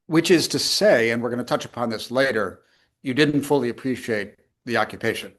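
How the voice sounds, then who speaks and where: A man interviewing a guest, asking guiding questions in a measured way. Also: mid-range voice, a bit bright. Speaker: interviewer, history professor. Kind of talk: conversational interview.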